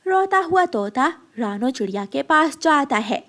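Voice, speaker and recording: A woman's voice: high voice, big pitch swings, unhurried, fairly bright tone. A woman narrating a scripted children's story.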